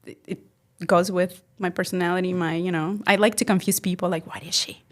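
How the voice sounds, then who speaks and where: A woman's voice: on the low side, steady tone, brisk. A woman from Mexico with a light Spanish accent, a guest on a podcast, chatting casually with frequent laughs and restarts. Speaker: guest entrepreneur. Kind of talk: casual interview chat. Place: podcast studio.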